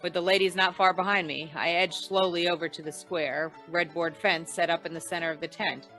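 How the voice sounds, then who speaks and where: Woman playing a low-voiced hard-boiled radio detective. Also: fairly even intonation, brisk, sharp tone, faint music behind, poor audio. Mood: wry, tense narration. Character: hard-boiled wisecracking insurance investigator, cool and commanding. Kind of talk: old-time radio detective drama, noir narration.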